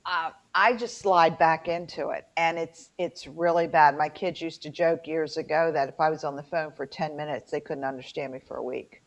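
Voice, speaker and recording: A woman's voice: low voice, fairly even intonation, normal speed, few pauses. A middle-aged American woman with a twang, chatting casually and jokingly as a folksy co-host of a talk show. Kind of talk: casual chat. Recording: dull lo-fi sound.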